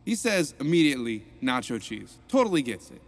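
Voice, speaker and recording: An actor playing a cheerful, jokey male rehab counselor in an audio drama, with a playful, lively delivery. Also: mid-range voice, fairly quick, vocal fry.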